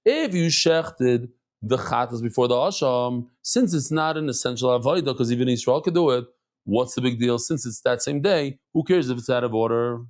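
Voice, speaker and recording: A middle-aged man teaches a daily Talmud class in an easy, conversational way. His voice sits mid-pitch but jumps up and down with lively swings, and he scatters Hebrew and Yiddish terms through his talk. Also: moderate pace. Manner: animated, chatty, mixes in Hebrew and Yiddish terms.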